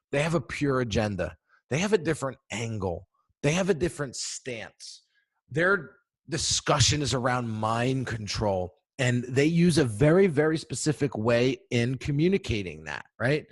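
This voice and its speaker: A man with a deep, somewhat husky voice teaches viewers in a lively, emphatic way, constantly checking in with them. His pitch sits in an ordinary middle range, and he leans hard into key phrases. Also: normal speed. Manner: energetic, emphatic, addresses viewers directly with frequent "right?" and "okay?". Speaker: brand coach. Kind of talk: instructional video talk.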